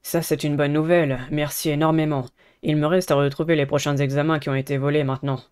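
A man voicing a polite, earnest teenage schoolboy in a dating game, measured. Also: fairly high pitch, level tone, a little mellow, smooth, close to an everyday voice. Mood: calm, earnest.

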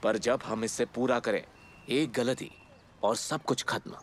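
Guy doing a sneaky thief plotting a heist, mid pitch, cartoon, little bit of noise behind. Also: steady tone, measured pace, lightly acted. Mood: scheming. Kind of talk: animated comedy dubbing.

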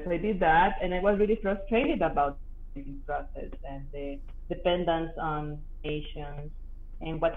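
A woman with a Costa Rican Spanish accent tells her story animatedly on a video call, often restarting her sentences. Her voice comes through thin and phone-like. The room around her sounds echoey. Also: sits low. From Costa Rica. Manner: lively, self-deprecating, frequent restarts. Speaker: guest. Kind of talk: casual interview chat. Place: home office. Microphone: headset on video call.

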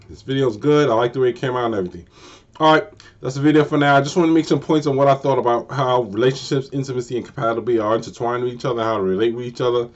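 Forties male vlogger, mid-pitched, casual and repetitive, lo-fi bedroom camera-mic sound. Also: a little mellow. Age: 40s. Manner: repetitive, emphatic, slowing down to stress points. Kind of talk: casual unscripted advice monologue. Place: small bedroom, indoors. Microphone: built-in camera mic at desk distance.

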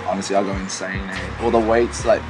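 A man's voice: deep voice, level tone, fast talker, low-quality recording. A man in his thirties with a New Zealand accent, chatting casually and jokily in slang as an interviewed barber. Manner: playful, slangy, with frequent "man" and "bro". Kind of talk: casual jokey chat. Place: indoors in a small convenience store. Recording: music playing behind the voice. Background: music.

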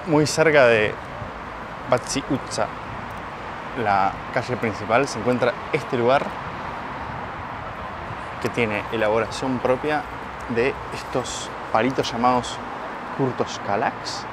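A chatty man in his thirties with an Argentine Spanish accent, talking through a travel food vlog from a handheld selfie camera. His pitch sits in a middling range, and steady traffic and street noise run behind him. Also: takes it slowly, pauses often. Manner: chatty, playful banter with co-host. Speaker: travel vlogger. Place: outdoors on city streets and cafés. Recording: noisy street recording.

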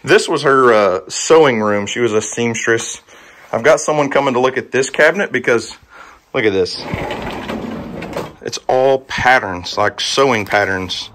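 A man's voice: medium pitch, measured pace, a bit bright, slight background noise, low-quality recording. Folksy man, casual vlogger. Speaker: homestead vlogger, host. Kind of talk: casual walkthrough vlog, talking to viewers. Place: outdoors in a yard, then inside a cluttered empty house. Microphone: handheld camera at arm's length.

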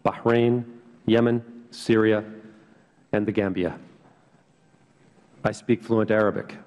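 A soft-spoken, middle-aged man reads a prepared statement at a hearing in an earnest voice. His voice sits on the low side. A long hall reverb surrounds it. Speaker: witness at a hearing. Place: large hearing room. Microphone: table microphone.

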